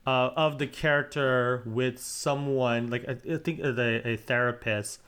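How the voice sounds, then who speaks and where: A man's voice: medium pitch, fairly even intonation, a little slow, a bit bright, clear, resonant tone. Rambling man on a casual podcast. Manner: rambling, self-correcting. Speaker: podcast co-host. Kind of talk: casual chat.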